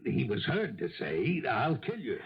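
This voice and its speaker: An actor playing an insistent, skeptical police lieutenant with a gruff voice in a 1970s radio drama. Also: male voice, medium pitch, wildly swooping pitch, unhurried, exaggerated voice. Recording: dull vintage radio recording.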